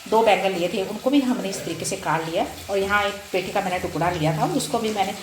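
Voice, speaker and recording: A woman's voice: mid-range voice, fast talker. A woman presenting a recipe step by step in an instructional, explanatory way. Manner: instructional, explanatory, repeats steps. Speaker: home cook presenting a recipe. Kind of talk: step-by-step cooking tutorial. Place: indoor home kitchen. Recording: roomy kitchen echo, loud cooking noise. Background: sizzling, stirring and clicks of cooking.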